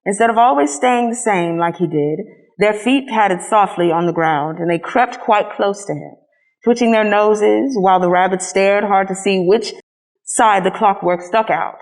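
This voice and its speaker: A woman reading a children's story aloud as a scripted narration, in an expressive storytelling manner. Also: medium pitch, moderate pace, low-quality recording. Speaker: storybook reader.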